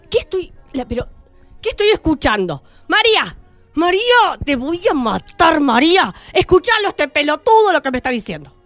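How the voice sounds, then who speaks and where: A high-pitched, exasperated Argentine father who yells, scolds and pleads, as a woman acts him out. His voice stays dry and close, with faint music beneath. He is a radio comedy figure, worn out and grumbling. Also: no echo. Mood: outraged. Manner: shouting, scolding, fed up. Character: exasperated middle-aged male carpenter father.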